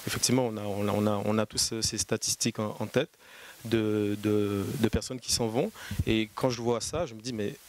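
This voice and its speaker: Man from Martinique answering from the audience, earnest, halting, faint hiss behind. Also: on the low side, fairly even intonation. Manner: earnest, halting with repeated words. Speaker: audience member, coder. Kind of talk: spontaneous comment from the audience.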